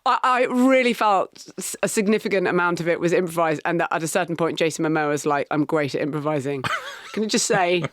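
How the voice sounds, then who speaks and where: A middle-aged woman with an English accent, co-hosting a podcast, speaking in an animated, wry way with halting restarts. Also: fairly low pitch, dry recording. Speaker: podcast co-host. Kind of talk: casual chat.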